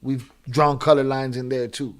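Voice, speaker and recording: A man commenting live on a video, sarcastic and animated, with many restarts. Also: mid-range voice, normal speed, clear, resonant tone. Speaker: reaction streamer.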